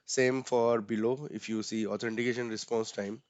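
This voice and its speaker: This man with an Indian accent explains settings in a loose, conversational way, dotting his talk with "uh" and "right?". His voice sits at a middling pitch. The sound is dry and a bit muffled, like a video call. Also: fairly even intonation, moderate pace, dry recording. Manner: casual, many fillers, frequent tag "right?". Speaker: instructor. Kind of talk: explaining software settings step by step.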